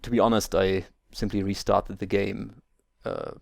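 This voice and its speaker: A man's voice: on the low side, flat delivery, unhurried, gravelly, no echo, studio quality. A German man speaking English as a second language, hosting a game let's play and carefully explaining settings step by step with small hesitations. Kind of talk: video game tutorial commentary.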